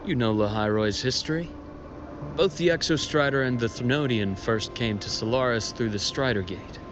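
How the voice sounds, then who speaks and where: An actor playing a knowledgeable, wistful academy guide in a video game, explaining things in a measured, earnest way. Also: male voice, medium pitch, background noise, natural voice. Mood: earnest, explanatory. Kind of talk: scripted video game dialogue, expository storytelling. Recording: game capture with music underneath. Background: game music.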